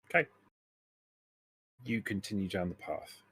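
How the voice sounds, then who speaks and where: A man narrating a tabletop role-playing game as game master, describing scenes in a halting, self-correcting way. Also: on the low side, animated, a bit bright, husky.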